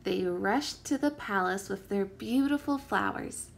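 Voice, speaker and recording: Slow-paced woman reading a storybook, creaky voice. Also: medium pitch. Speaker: teacher reading a picture book aloud. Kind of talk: expressive children's storybook read-aloud.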